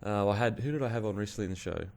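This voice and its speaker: A man's voice: fairly low pitch, rapid-fire, creaky, a little mellow. Man, australian accent, interview host, casual and rambling questions. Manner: chatty, friendly, loose questions. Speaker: podcast host.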